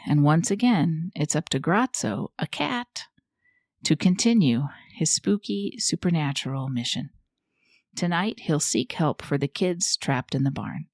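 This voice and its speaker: A woman's voice: deep voice, a little mellow, no room sound, clean studio sound, heavily acted. A woman playing a fussy, easily indignant goat reporter who hosts a scripted podcast in a prim, chatty, theatrical way. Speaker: podcast host.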